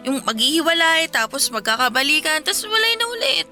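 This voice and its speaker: A woman's voice: sits high, big pitch swings, a little fast, pushed and bright, heavily acted. A woman acting a pleading, conciliatory sister in a Filipino radio drama. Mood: uneasy, explaining. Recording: music behind the voice.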